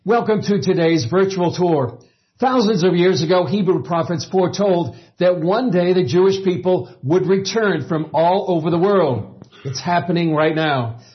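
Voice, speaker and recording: A man anchoring a news program, giving a scripted introduction in a measured way. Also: sits high, dull audio.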